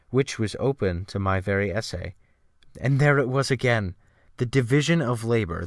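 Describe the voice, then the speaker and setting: A man narrating a literary audiobook in the first person, with formal, theatrical, measured phrasing. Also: medium pitch, a bit bright, no echo, studio quality.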